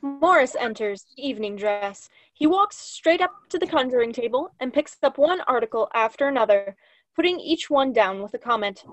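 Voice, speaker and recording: A woman's voice: fairly high pitch, animated, moderate pace, fairly bright tone, rough recording. A woman reading stage directions as scripted narration for a play over a video call. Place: room at home, on a video call.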